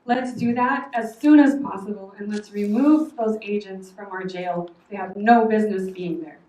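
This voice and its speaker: A woman's voice: mid-range voice, mellow. Earnest woman speaking in an echoey hall. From Oklahoma. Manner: earnest, measured, firm. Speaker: public commenter. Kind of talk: prepared public comment at a meeting. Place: public meeting hall. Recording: echoey hall, distant mic, faint noise.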